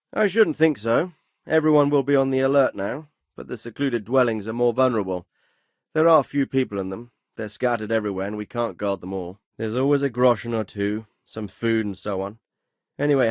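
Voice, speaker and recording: An actor playing a middle-aged medieval nobleman commander in a video game, grave, fatherly and authoritative, speaking in a measured, formal way. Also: mid-range voice, dry recording, studio quality, lightly acted. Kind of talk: dramatic video game dialogue acting.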